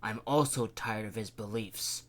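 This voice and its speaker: A man voicing a stern, dismissive male mayor in a lowered voice. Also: fairly even intonation, unhurried, lightly acted. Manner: curt, dismissive, lower-pitched.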